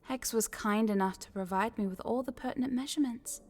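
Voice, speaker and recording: A woman's voice: a little high, fairly quick, close to an everyday voice. Smug, teasing corporate boss lady, acted by a woman. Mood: pleased, possessive. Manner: teasing, condescending, drops into breathy whispers. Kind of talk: scripted audio roleplay spoken to the listener.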